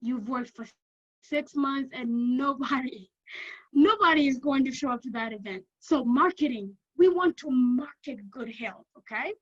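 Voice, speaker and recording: A woman with a Kenyan accent giving an energetic informational talk to prospective students, often checking in with "okay?". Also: high-pitched, unhurried, lo-fi sound. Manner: energetic, keeps checking in with "okay?", repeats for emphasis. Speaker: university lecturer.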